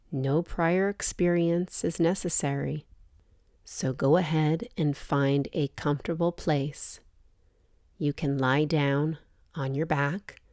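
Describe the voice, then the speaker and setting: Slow, low-voiced woman guiding meditation. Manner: soothing, gentle, measured delivery with pauses. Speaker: yoga nidra meditation guide. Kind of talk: guided relaxation meditation, softly instructing.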